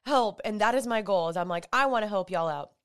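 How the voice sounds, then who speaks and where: A woman's voice: medium pitch, lively intonation, a little fast, clean tone. A young woman, chatting upbeat on her solo podcast while stuffy and congested. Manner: chatty, upbeat, with filler likes. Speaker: podcast host. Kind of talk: casual solo podcast talk.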